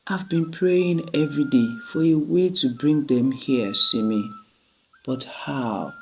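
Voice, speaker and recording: A storyteller voicing a worried, weary elderly grandmother in a family tale, speaking deliberately and anxiously. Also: mid-range voice, slight background noise, rough recording.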